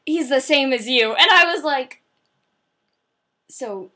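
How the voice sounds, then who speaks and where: A woman chatting casually in an excitable way. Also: high-pitched, slow pace. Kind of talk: casual chat.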